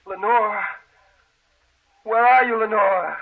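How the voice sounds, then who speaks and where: A grieving husband gripped by obsession in a vintage radio horror play. His voice is high and a little rough, pleading and melodramatic. The sound is narrow and tinny, like a telephone line. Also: slow pace, heavily acted. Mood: desperate. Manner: melodramatic, urgent, impassioned. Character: distraught, obsessed grieving husband chasing his dead wife. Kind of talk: old-time radio horror acting. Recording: thin phone-like vintage radio recording.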